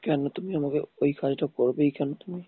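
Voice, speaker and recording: A man with a rough, husky voice arguing insistently and repetitively in an intimate phone call. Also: a little high, fairly quick, background noise. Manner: insistent, repeats demands. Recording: muffled tinny phone call recording.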